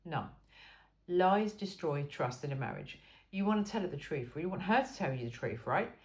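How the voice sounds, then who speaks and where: A woman's voice: deep voice, sing-song, normal speed, a little mellow. A woman reading an informal self-help book aloud in an emphatic, cheeky and frank way. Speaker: amateur reader of a book aloud.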